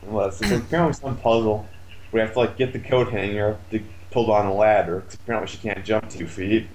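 Male, somewhat low pitch, sardonic sweary complainer, casual gamer talk, faintly noisy amateur recording. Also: a little fast, darkish tone. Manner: sardonic, foul-mouthed complaining. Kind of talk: casual chat about a video game.

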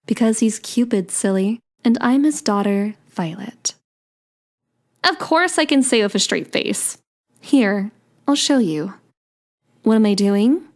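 A woman playing a playful, sweet young daughter of Cupid in an ASMR romance roleplay, coy and gently teasing. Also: medium pitch, expressive, slow, long pauses. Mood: warm, playful.

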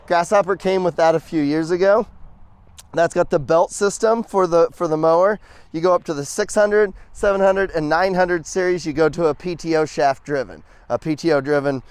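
A man's voice: clear, resonant tone, no room sound. A man in his thirties giving a casual, upbeat product walkthrough as an equipment salesman, talking outdoors on a dealer lot with a lapel mic. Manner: upbeat, chatty sales pitch with fillers.

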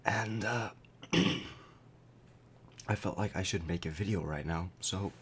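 A man's voice: low voice, slow, a bit bright, a little hiss or hum. A young man commenting casually on his gameplay at night, his voice cracking and strained after a concert, with many fillers as he explains.

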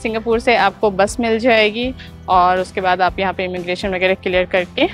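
A woman in her thirties giving a chatty travel vlog tour with a lapel mic, pausing with "uh" as she explains things to viewers. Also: medium pitch, little pitch movement, faint music behind. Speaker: travel vlogger. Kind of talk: casual travel vlog narration. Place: outdoor theme park. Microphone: clip-on lapel mic.

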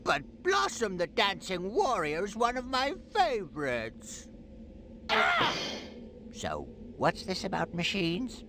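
An actor playing a playful, mischievous old king with a theatrical, erratic delivery in an animated game. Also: sits high, slow pace, very noisy, exaggerated voice. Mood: gleeful. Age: older. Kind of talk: animated game cutscene acting. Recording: music behind the voice.

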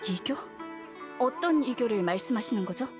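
A woman's voice: a little high, lively intonation, moderate pace, music in the background, lightly acted. A woman playing a polite, composed and ominously persuasive believer in a game drama. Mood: calm.

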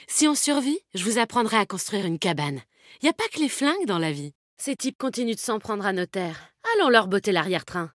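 An actress playing a tough, animal-loving female fighter in a video game, speaking in a brisk, confident, slangy way. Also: medium pitch, very animated, no room sound, clean studio sound, natural voice. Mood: wry, rallying. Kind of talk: video game character voice lines.

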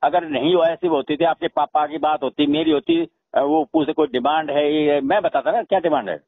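Phone-line recording, middle-aged man, Haryanvi accent, fairly high voice, insistent, repetitive. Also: very animated, brisk, creaky, dry recording. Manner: insistent, repeats himself, demands to be heard. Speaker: father-in-law in a family dispute. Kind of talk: heated phone conversation. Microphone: telephone. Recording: tinny, narrow phone-call recording.

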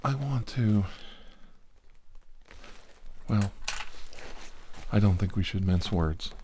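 A middle-aged man with a lowish, very mellow voice acts as a doctor in an ASMR exam. He gives instructions very slowly and deliberately, breaking them into short phrases with pauses between. There is clear noise in the room recording. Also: animated. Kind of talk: ASMR medical roleplay, soft instructions to the listener.

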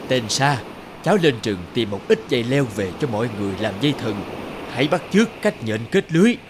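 A man's voice: mid-range voice, sing-song, fast, noisy background, natural voice. A man as a wise old cartoon fisherman. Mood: thoughtful, encouraging. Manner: encouraging, instructing. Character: wise old fisherman grandfather, resourceful leader. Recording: music behind the voice.

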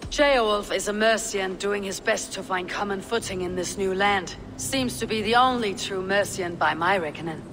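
A woman playing a stern, composed Viking warrior leader in a video game, speaking in a measured, firm way. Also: medium pitch, noisy background, lightly acted. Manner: measured, firm, coolly menacing. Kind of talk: video game dramatic acting.

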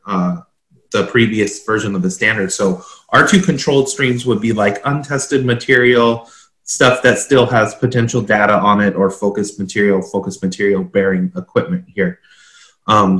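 A middle-aged man with a fairly low voice talks through technical requirements in an informal way. He sounds like he is on a computer mic in a room with noticeable echo. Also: a bit bright. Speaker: standards consultant and co-host. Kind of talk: informal explanatory commentary. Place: home office, indoors, on a video call. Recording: roomy, echoing video-call audio.

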